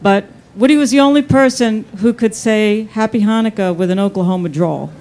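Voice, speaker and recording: A woman's voice: mid-range voice, fairly quick. A woman in her sixties with a Brooklyn accent, chatting casually on a panel as an author and telling anecdotes. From New York. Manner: chatty and relaxed, with fillers like "like".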